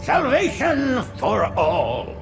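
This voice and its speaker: An actor playing an ancient, frail, pious alien prophet in a video game, preaching reverently in a thin, aged voice. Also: male voice, sits high, wildly swooping pitch, extremely slow, gravelly, over music, exaggerated voice. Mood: reverent, fervent. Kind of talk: video game cutscene acting.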